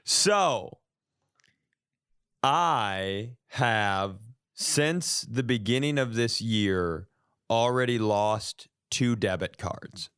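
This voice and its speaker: A man's voice: fairly low pitch, animated, drawn-out words, a bit bright, smooth, no echo, clean studio sound. An American man co-hosting a comedy podcast with casual, self-deprecating banter and wry interjections. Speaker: podcast co-host.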